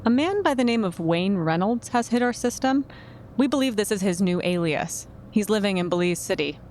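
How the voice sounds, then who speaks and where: A woman's voice: mid-range voice, lively intonation, darkish tone, natural voice. Actress as composed female agent, background noise. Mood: businesslike. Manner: polite, official, measured. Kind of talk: naturalistic fiction podcast acting.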